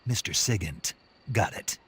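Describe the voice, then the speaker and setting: Gruff game soldier, low-pitched, very raspy, clipped, dry, skeptical. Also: male voice, expressive, a little slow, bright, forceful tone, full character voice. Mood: businesslike. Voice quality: gravelly, husky. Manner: terse, dry, skeptical questioning. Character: gruff, stoic male special-forces operative in his prime. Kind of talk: video game cutscene radio dialogue.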